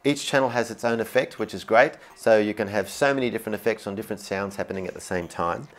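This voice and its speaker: A man's voice: fairly low pitch, fast. A man giving an upbeat, informal and enthusiastic instructional product demo, explaining features as he goes. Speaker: product demonstrator. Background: keyboard music.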